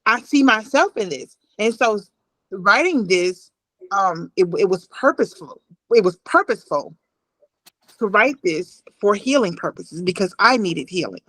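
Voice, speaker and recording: A woman in her thirties telling a personal story as an interview guest on a video call, deliberate and emphatic, repeating phrases. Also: mid-range voice, fairly bright tone, dry recording, rough recording.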